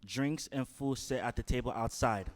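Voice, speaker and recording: A man's voice: medium pitch, moderate pace. A man reading out stage directions as scripted narration in a staged play reading.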